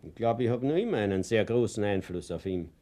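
A man's voice: lowish voice, expressive, a little fast, mellow, lightly acted. An actor playing a naive, self-important elderly Viennese man in a radio drama, speaking insistently in an Austrian accent. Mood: earnest, confident.

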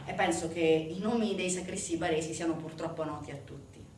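A woman delivering a theatrical narrative monologue to an audience, emphatic, with dramatic pauses and rhetorical questions. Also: low-pitched, a little mellow. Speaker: stage actor performing a monologue. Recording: echoey, distant stage recording with noise.

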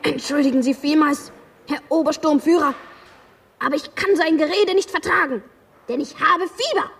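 Creaky, high, whining wounded soldier. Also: female voice, normal speed, big-room sound, noisy background. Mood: apologetic, whining. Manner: plaintive, pleading. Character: girl mimicking a whining, feverish wounded male soldier. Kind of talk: radio drama acting.

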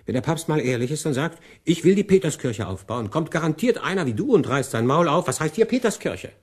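An actor playing a worldly, condescending cardinal in a radio play, impatient and sardonic. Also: male voice, a little high, big pitch swings, fairly quick, husky. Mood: exasperated, blustering. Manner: exasperated, sardonic, swinging from hushed to blustering.

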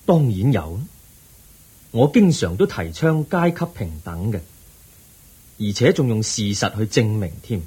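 The Buddha, husky and calm. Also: male voice, fairly low pitch, measured pace, pauses often, exaggerated voice. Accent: Hong Kong Cantonese. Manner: gentle, patient counseling. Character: the Buddha, calm wise male teacher. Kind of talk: radio drama acting.

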